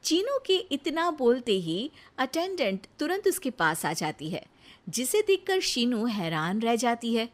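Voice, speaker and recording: A woman's voice: fairly high pitch, very animated, measured pace. A woman giving scripted narration of a cartoon story as its narrator.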